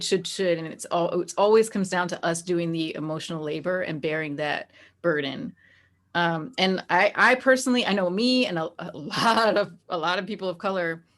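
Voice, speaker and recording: A woman with a somewhat low-pitched voice talks as a guest in a conversational interview. She sounds reflective and informal, speaking candidly in everyday language.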